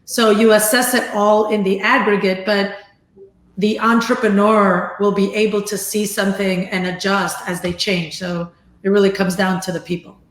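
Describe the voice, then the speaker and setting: Woman panelist from Venezuela, measured and articulate, mid pitch, echoey room. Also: little pitch movement. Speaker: investor panelist. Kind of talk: panel discussion.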